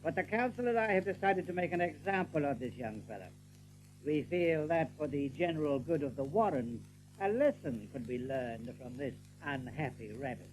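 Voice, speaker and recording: An actor playing a rabbit general in an audiobook drama, a commanding ruler speaking in a measured, formal, authoritative way. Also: male voice, on the high side, expressive, darkish tone, a little hiss or hum, close to an everyday voice, rough recording. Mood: quietly menacing.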